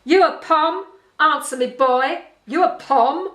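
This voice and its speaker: Woman acting a loud, surly farm boss. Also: high voice, takes it slowly, echoey room, pitched above the performer's own voice. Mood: sneering, suspicious. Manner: curt, barking, derisive. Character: tall, surly, sneering farm boss in his late thirties.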